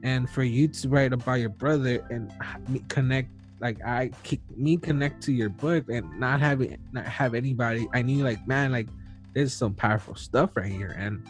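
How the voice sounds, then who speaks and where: A man's voice: mid-range voice, moderate pace, faint music behind. A man in his early thirties hosting a podcast, talking casually and rambling with lots of fillers and restarts. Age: 30s. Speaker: podcast host. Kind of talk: casual chat.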